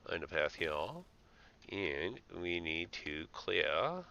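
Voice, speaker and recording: Very deep-voiced man streaming a game. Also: animated, slow, vocal fry, sharp tone, clear, resonant tone, highs cut off. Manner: mutters to himself, playful asides to game characters. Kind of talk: casual live gameplay commentary, thinking aloud.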